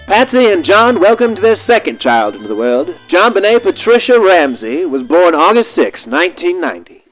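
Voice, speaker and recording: A man's voice: high-pitched, sing-song, moderate pace, full character voice. Man as a lo-fi mock-solemn radio narrator. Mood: wry. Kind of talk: scripted comedic narration. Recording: dull lo-fi radio sound with music.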